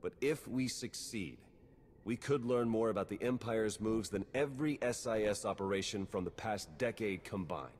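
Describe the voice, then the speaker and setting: An actor playing a brash, earnest spy agent in a sci-fi video game, talking in a wry, intense, informal way. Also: male voice, lowish voice, moderate pace, a little mellow, big-room sound, slight background noise, natural voice. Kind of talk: video game cutscene acting.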